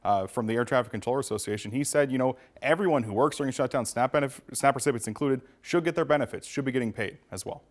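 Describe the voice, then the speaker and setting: A man's voice: medium pitch, quick pace, hardly any pauses. A man with an American accent giving a live news report as a reporter.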